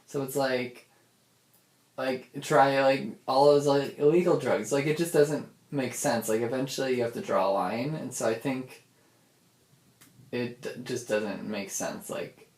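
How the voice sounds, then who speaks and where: A young adult man with a creaky, fry-edged voice records a casual audio diary. He thinks aloud in a hesitant, looping way, restarting often. The sound is echoey, as if he drifts around a room away from the mic. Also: medium pitch. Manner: hesitant, repetitive, self-interrupting with many "like"s. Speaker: audio diarist.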